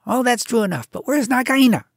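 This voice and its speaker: A woman voicing a brave little male mongoose who talks in a brisk, scolding, impatient way. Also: a little high, big pitch swings, dry recording, studio quality, voice pushed up.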